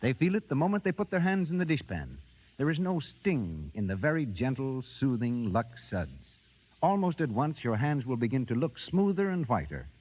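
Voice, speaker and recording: Male radio announcer, 1930s. Formal scripted delivery with a lively melodic swing, in a muffled, reverberant broadcast. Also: medium pitch, moderate pace.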